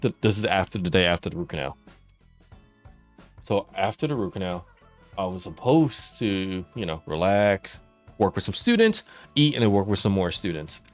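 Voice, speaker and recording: A chatty man streams a game and tells stories from his week, with a lowish voice that swings up and down a lot. He laughs often and answers viewers as they write in. Soft game music plays under him. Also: very animated, moderate pace. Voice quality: naturally loud voice held down for the mic. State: mouth watering after dental work. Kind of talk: casual live-stream storytelling while gaming.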